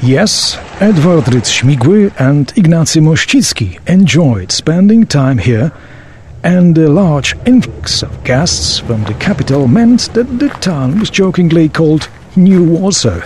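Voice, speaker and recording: A man acts as a well-informed local guide in a scripted audio play. His fairly high voice swings expressively as he presents information formally and helpfully. Background ambience sits under the speech. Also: very animated, unhurried, a little mellow, slight background noise. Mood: informative, obliging. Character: knowledgeable, obliging male local tour guide. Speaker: tour guide.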